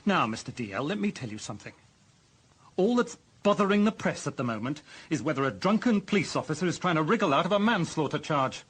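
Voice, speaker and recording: An actor playing an exasperated, authoritative deputy police chief with a British accent, giving stern, sarcastic reprimands in a radio drama. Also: male voice, fairly high pitch, very animated, fast, husky. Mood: angry, scathing.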